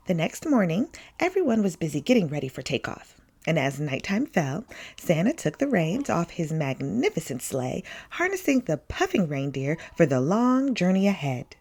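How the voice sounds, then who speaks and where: Woman, children's story reader, expressive narration, lively intonation, slow deliberate pace, dramatic word emphasis. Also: fairly low pitch. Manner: dramatic emphasis on key words. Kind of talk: expressive children's picture-book read-aloud.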